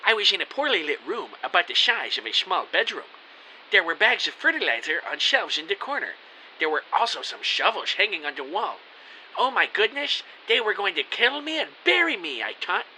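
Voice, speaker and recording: A man's voice: sits high, big pitch swings, brisk, sharp tone, full character voice, poor audio. A man playing a hapless, anxious everyman, narrating in the first person in an earnest, theatrical way for an audio drama. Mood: alarmed. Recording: faint hiss.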